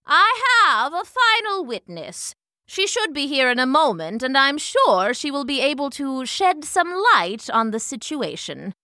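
A woman's voice: high-pitched, very animated, unhurried, bright, forceful tone, dry recording, studio quality, pitched above the performer's own voice, exaggerated voice. A woman voicing a patient old male hornet who keeps the peace with authority in a children's story. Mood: commanding.

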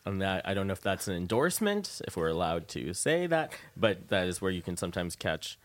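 A man's voice: deep voice, sing-song, fairly quick. A man hosting a casual interview show on the radio, chatting informally from a studio.

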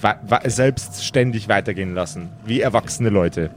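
A man's voice: lowish voice, moderate pace, soft background music. A man narrating an improvised tabletop adventure as game master.

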